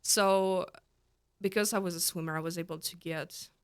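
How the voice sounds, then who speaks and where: A woman's voice: lowish voice, steady tone, moderate pace, clear, resonant tone. A woman in her thirties from Russia with a Russian accent, a counselor speaking in a casual interview, earnest and often restarting as she searches for words. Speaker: guest counselor.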